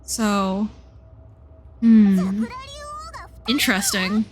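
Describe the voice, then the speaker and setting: A woman's voice: mid-range voice, extremely slow, a little hiss or hum. A woman livestreaming a game, thinking aloud in a casual, reflective way and often interrupting herself. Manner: animated, reflective, self-interrupting. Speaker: live streamer playing and commenting on a game.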